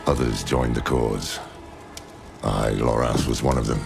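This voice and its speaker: A man playing a weary old scholar, narrating dark past events gravely and with measured drama in a game cinematic. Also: steady tone, heavily acted. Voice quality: very deep, gravelly. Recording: loud music under the voice.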